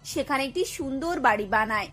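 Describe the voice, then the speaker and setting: A woman's voice: high voice, animated, fairly quick, fairly bright tone. A woman storyteller giving scripted narration for a cartoon tale.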